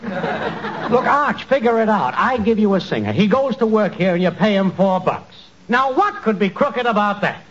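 A man's voice: high voice, very animated, moderate pace, fairly bright tone. An actor playing an effusive, wheedling, falsely chummy male con man in 1940s radio comedy style. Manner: effusive, theatrical, ingratiating. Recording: muffled, hissy 1940s radio recording.

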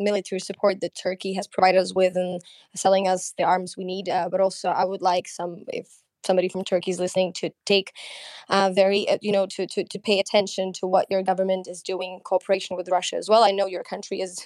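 A woman with a Ukrainian accent, a guest in an online audio chat, speaking earnestly and deliberately and restarting sentences. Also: medium pitch, flat delivery, darkish tone, no echo.

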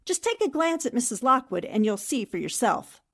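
A shrewd newspaperwoman sleuth from a 1940s radio mystery, played by an actress. Her voice sits a little high, and she speaks with self-assured, methodical precision. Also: very animated, normal speed, creaky, husky, heavily acted. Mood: confident, methodical.

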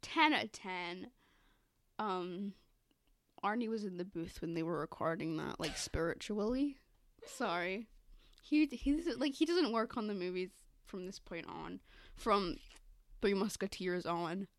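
A woman's voice: medium pitch, animated, a little slow, no echo. A young woman co-hosting a podcast, chatting casually about movies in a playful, enthusiastic manner. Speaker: podcast co-host. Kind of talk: casual movie discussion chat.

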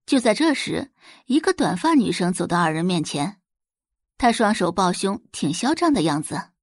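A woman's voice: medium pitch, big pitch swings, normal speed, dry recording, clean studio sound. A woman reading a scripted story expressively as an audiobook narrator.

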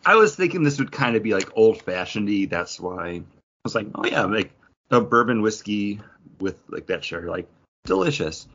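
Casual male podcast host, low husky voice, very animated intonation, slightly dull recording. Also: normal speed.

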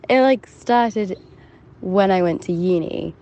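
A woman's voice: medium pitch, takes it slowly, dark tone, lo-fi sound. A young woman from Hampshire with a shifting, mixed English accent, leaving a chatty, giggly voicemail for a podcast. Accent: mixed English, shifting between southern and northern. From Hampshire, England. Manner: chatty, giggly, self-deprecating. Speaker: caller.

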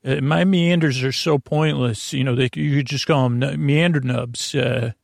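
A man hosting a bedtime podcast in a creaky voice, meandering hesitantly and often repeating and correcting himself. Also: medium pitch, steady tone, normal speed, no room sound, clean studio sound. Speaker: podcast host. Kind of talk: rambling bedtime sleep podcast monologue.

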